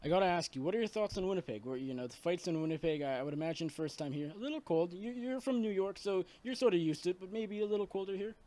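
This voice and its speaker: A man's voice: high voice, lively intonation, fast talker, few pauses, warm tone. A man interviewing a fighter, asking long run-on questions.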